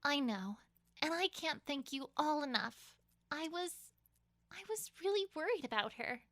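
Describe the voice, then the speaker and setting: A woman's voice: high voice, animated, measured pace, a bit bright, no room sound, studio quality. A woman voicing an earnest, courteous, weary young unicorn mare, sincere and polite in a cartoon-style audio drama. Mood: grateful concern.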